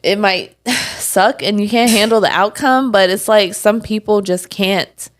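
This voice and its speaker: Young adult woman, casual podcast co-host; relaxed, filler-heavy, quick to laugh. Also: mid-range voice. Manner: laughs often, chatty with many fillers. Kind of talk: casual chat.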